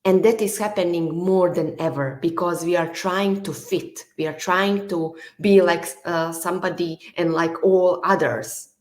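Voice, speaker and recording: A woman's voice: fairly low pitch, fairly even intonation, unhurried, few pauses. A woman from Bosnia with a Bosnian accent, a non-native speaker hosting a podcast interview, leaning on filler words. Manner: leans on "like" and "you know" fillers. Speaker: podcast host. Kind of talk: conversational interview.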